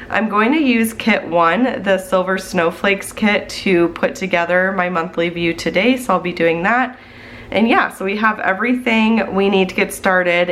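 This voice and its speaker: A woman's voice: on the low side, fairly bright tone, slight background noise. Congested woman chatting through a plan-with-me. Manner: chatty, thinking aloud as she works. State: congested from the flu. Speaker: planning channel host. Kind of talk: casual plan-with-me voiceover.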